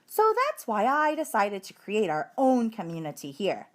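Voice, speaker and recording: A woman voicing a kindly but firm female schoolteacher who addresses her class in a deliberate, instructive way. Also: mid-range voice, sing-song, lightly acted.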